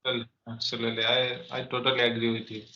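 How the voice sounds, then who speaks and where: A man's voice: mid-range voice, completely flat delivery, fast, slight background noise. A man, a tutor politely asking interview questions over an online call. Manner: polite, formal address. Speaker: host, English tutor. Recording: bright echoey lo-fi call.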